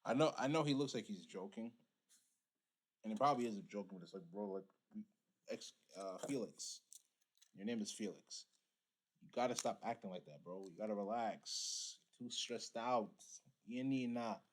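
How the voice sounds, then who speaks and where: A man with a fairly low voice, talking straight to his audience in a preachy, casual reaction commentary. His first language is Igbo, and he keeps checking in with listeners as he makes his points. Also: normal speed, long pauses. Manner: preachy, addresses viewers directly. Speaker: reaction streamer.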